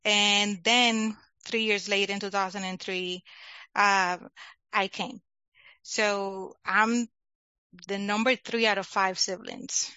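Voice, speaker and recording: A woman's voice: medium pitch, fairly even intonation, takes it slowly, bright, forceful tone, smooth, no echo, highs cut off. A young woman with a Dominican accent, a product manager chatting as an interview guest and laughing often. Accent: Dominican Spanish. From Dominican Republic. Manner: laughs often, talkative, restarts phrases. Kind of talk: casual interview chat.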